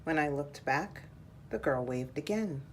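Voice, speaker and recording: A woman reading a children's picture book aloud in a measured, deliberate storytelling style. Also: low voice, expressive, a little hiss or hum.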